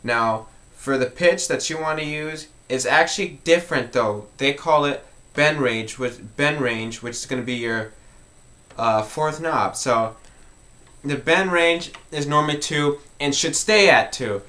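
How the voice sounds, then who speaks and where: A man's voice: a little high, unhurried, bright, forceful tone. A man talking informally as he hosts an instructional music tutorial.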